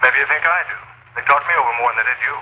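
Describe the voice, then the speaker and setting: Sullen suspect guy, a man doing it, talks quick, picks at his partner, hiss all over the old recording. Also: mid-range voice, lightly acted. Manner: sullen and needling. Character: surly, hungry male suspect sharing a cell-like room. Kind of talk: 1940s radio acting. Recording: muffled, noisy old radio broadcast.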